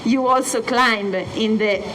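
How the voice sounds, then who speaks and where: A young Italian woman with an Italian accent, presenting as a mountain guide and pausing often with filler sounds. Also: on the high side, pushed and bright, a little hiss or hum, low-quality recording. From Italy. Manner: many filler sounds and pauses. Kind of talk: hesitant presentation talk. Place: hotel event space.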